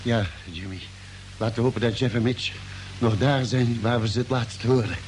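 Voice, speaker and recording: An actor playing an earnest, grave ship's doctor in a Dutch space radio drama, narrating and speaking with dramatic, clear phrasing. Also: male voice, medium pitch, fairly even intonation, normal speed, a little mellow, slight background noise, natural voice. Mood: concerned.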